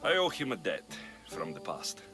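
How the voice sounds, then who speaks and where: A man's voice: low-pitched, wildly swooping pitch, moderate pace. An actor playing a blunt, sardonic young male mercenary in a video game cutscene, with music behind him. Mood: subdued, reluctant. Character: gruff young male mercenary, wry and impatient.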